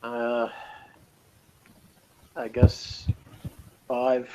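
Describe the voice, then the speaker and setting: A man's voice: mid-range voice, monotone, extremely slow, mellow, clear, resonant tone. A man co-hosting a casual review chat, with a dry, teasing way of talking. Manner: dry, teasing, laughs often. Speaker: podcast co-host. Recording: dull lo-fi sound.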